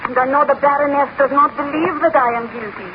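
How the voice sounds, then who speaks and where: A woman's voice: sits high, steady tone, fast, lightly acted. An actress playing a proud, desperate servant girl protesting her innocence, in declamatory 1930s radio drama style. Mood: pleading. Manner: emphatic, declamatory pleading. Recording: noisy, dull 1930s radio recording.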